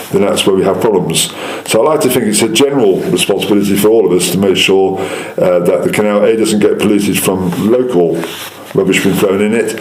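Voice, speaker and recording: A man giving a spontaneous, measured interview answer point by point. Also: deep voice, darkish tone. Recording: echoey room.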